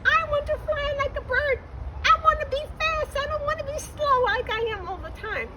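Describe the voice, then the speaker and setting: A woman voicing a small, childlike young male turtle with a squeaky, earnest voice in a children's story. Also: far from the mic, a loud crowd, very noisy. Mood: sad, longing. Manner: earnest, simple, drawn-out exclamations.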